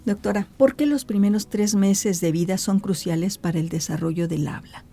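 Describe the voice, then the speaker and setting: A woman's voice: medium pitch, moderate pace, dark tone. A woman interviewer with a Mexican Spanish accent who asks the guest questions in a courteous, formal way. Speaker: interview host.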